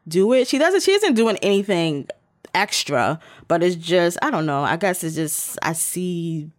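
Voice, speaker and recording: A woman's voice: lowish voice, animated, measured pace, no echo. A woman co-hosting a podcast, chatting in a slangy, informal, rambling way. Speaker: podcast co-host. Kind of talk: casual chat.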